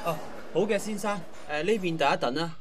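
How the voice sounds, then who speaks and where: A man's voice: fairly high pitch, a little fast, crowd noise, natural voice. A man playing a polite restaurant waiter calling numbers in a radio drama, with a Hong Kong Cantonese accent.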